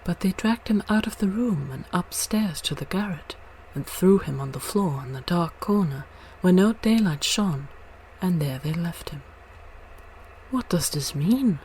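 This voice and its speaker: Woman reading a fairy tale out loud, lowish voice, bit of background noise. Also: lively intonation, normal speed, poor audio. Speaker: storyteller. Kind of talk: scripted storybook narration.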